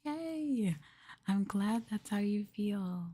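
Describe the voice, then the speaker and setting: A woman streamer speaking softly, sometimes in a whisper, as she chats to viewers on an ASMR livestream. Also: mid-range voice, lively intonation, takes it slowly, slight background noise. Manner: soft-spoken, sometimes whispering. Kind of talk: ASMR soft talking, chatting to viewers.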